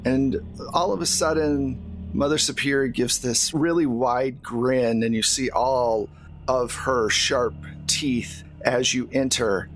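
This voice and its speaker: A man's voice: medium pitch, fairly even intonation, takes it slowly. Man running a tabletop game, noisy recording. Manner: explanatory, checks in with players. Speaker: game master. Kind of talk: narrating and refereeing a tabletop game. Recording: clearly noisy background.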